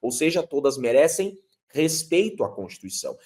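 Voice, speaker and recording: A man's voice: on the high side, very animated, normal speed, darkish tone. A man, a lawyer explaining legal matters emphatically, posing rhetorical questions as he lectures. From Curitiba, Brazil. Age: 30s.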